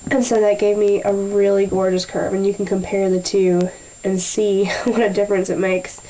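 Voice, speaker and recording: A young woman talking through a nail tutorial in a chatty way, a little nasal from a sinus infection, often clearing her throat. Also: medium pitch, a little slow, long pauses, mellow, rough recording. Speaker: beauty vlogger. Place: small room at a table.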